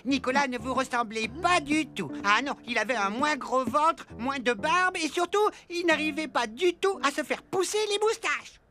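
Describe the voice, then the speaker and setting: A woman's voice: high-pitched, lively intonation, moderate pace, pushed and bright. A woman voicing a small, earnest, fussy male elf in a children's cartoon, naive and emphatic. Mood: suspicious. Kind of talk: French children's cartoon dubbing.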